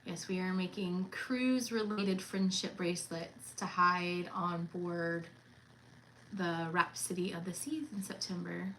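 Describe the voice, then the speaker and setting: A bright, clear-voiced woman in her thirties chats and teases on a casual cruise livestream, laughing often. A faint hum of room ambience sits behind her voice. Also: lowish voice, steady tone, a little slow, poor audio. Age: 30s. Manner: chatty, teasing, laughs often.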